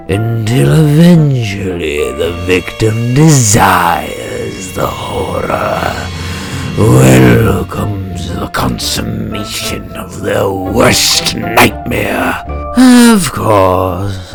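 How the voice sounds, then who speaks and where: A man voicing a sadistic, theatrical supervillain obsessed with fear, gravelly and menacing, in a comic dub. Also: low voice, full character voice. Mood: gloating, then savouring, menacing. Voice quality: gravelly, creaky. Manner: slow, menacing, theatrical, savouring words. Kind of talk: comic dub villain monologue. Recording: orchestral score behind voice.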